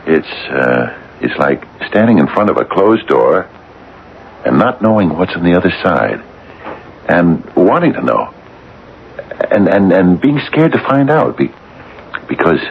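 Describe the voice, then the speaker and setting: An actor playing an anxious, hesitant retired businessman of nearly sixty in a radio drama, with a deep, gravelly voice. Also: exaggerated voice. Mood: fearful, searching. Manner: hesitant, halting, stammering. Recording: dull, noisy vintage radio recording.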